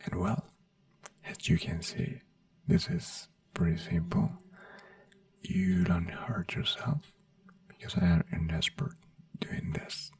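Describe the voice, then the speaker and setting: A non-native English speaker in a soft-spoken ASMR doctor roleplay, whispering breathily in a gentle, reassuring manner. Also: sits low, lively intonation, slow, pauses often, recorded from a distance. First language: not English. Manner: gentle, reassuring personal attention. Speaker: ASMR performer playing a brain doctor.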